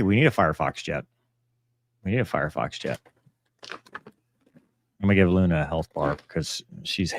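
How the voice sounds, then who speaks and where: A man with a low voice hosts a relaxed live Q&A, recorded close and dry. He talks off the cuff in a matter-of-fact manner, drifting from point to point and letting sentences trail off. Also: no echo. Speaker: live stream host answering viewer messages; pilot and author. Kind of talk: casual chat, live Q&A.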